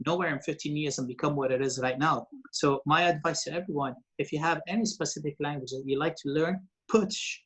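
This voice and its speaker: A man's voice: fairly high pitch, brisk, hardly any pauses, a bit bright, breathy edge. A man in his forties who grew up in Lebanon and speaks Arabic as his first language. He is a radio presenter and TV host, telling stories as an interview guest in a rambling way full of fillers and restarts. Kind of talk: interview guest, casual storytelling.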